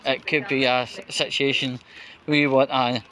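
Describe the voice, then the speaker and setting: A man giving off-the-cuff political commentary outdoors on a busy street, halting and emphatic, often repeating words. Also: medium pitch, little pitch movement, bright, forceful tone. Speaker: vlogger. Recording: noisy street ambience. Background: street noise.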